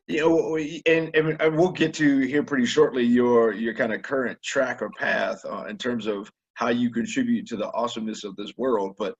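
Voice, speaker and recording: Husky stammering man host. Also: medium pitch, fairly even intonation, normal speed, lo-fi sound. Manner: rambling, stammering restarts, slangy. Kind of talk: casual interview chat. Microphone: webinar video call.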